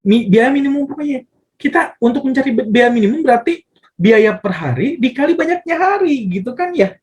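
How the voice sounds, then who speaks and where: Man teaching, very lively swooping pitch. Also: a little fast, husky. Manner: asks and answers own questions, repeats phrases. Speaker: math teacher. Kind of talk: casual step-by-step tutorial explanation.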